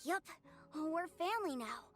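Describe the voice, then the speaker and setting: A woman's voice: sits high, expressive, a little slow, faint music behind. A woman voicing a sweet, innocent, sickly little child in a video game, with a frail childlike voice. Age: child character.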